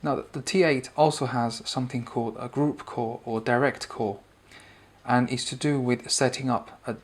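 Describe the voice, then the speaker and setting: A man's voice: medium pitch, fairly even intonation, moderate pace. A man giving a conversational, explanatory spoken product review and demonstration.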